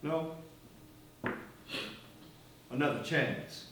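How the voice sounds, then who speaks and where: Casting: man as a haunted activist, higher voice, far from the mic in an echoing hall. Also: drawn-out words. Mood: brooding. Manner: intense, brooding and searching. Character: weary, outspoken activist, haunted and intense man. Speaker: stage actor. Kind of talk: stage play performance. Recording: echoey, distant stage recording, faint hiss.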